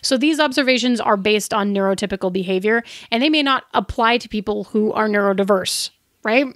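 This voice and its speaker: A woman's voice: medium pitch, fairly quick, fairly bright tone, dry recording, clean studio sound. A woman co-hosting a podcast, explaining things in a casual, conversational style. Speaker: podcast co-host. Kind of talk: casual explanatory chat about tabletop gaming.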